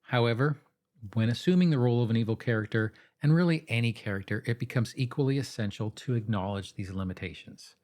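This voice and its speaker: Male explainer host, medium pitch, instructive scripted delivery with conversational asides, talks straight to the audience. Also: quick pace, a little mellow. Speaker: host of a tabletop roleplaying advice channel.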